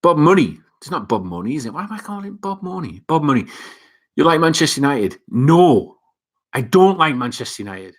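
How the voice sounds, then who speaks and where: A man's voice: a little high, sing-song, brisk. A young man with a Northeast England accent, hosting a casual live chat and reading viewer comments, rambling with lots of fillers and restarts. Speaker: livestream host reading chat.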